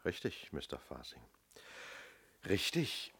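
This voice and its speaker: Low-voiced, probing police inspector. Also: male voice, wildly swooping pitch, slow, vocal fry, fairly bright tone, husky, full character voice. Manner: probing, clipped questioning. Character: methodical, sceptical male British police inspector, middle-aged. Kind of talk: German radio play acting.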